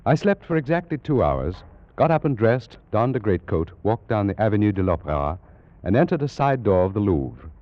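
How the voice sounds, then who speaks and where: An actor playing a scholarly British Egyptologist, formally recounting an eerie adventure in a 1940s radio drama. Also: male voice, fairly low pitch, big pitch swings, moderate pace. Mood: measured, intrigued. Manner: formal, precise, dramatic storytelling. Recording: muffled, noisy vintage radio recording.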